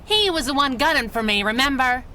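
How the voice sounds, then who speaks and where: An actress playing a tough, wisecracking young masked heroine in a 1930s-style radio drama. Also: female voice, high-pitched, expressive, a little fast, sharp tone, slight background noise. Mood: brash, scolding. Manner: wisecracking, needling, slangy. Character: tough, sassy young masked female crimefighter.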